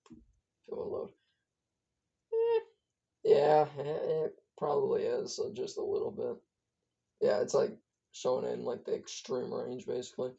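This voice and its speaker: A hoarse speaker whose voice is giving out, giving a casual, rambling weather briefing as an amateur forecaster, stumbling and correcting themselves often. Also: medium pitch, very animated, a little slow, long pauses, dark tone. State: hoarse, losing voice. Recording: dull lo-fi recording.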